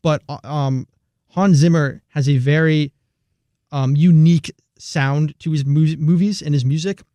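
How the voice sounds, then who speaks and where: Young male radio host, casual and rambling, slightly high voice, dry studio sound. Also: a little slow, no room sound. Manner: relaxed, rambling, many fillers. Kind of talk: casual radio chat about film music.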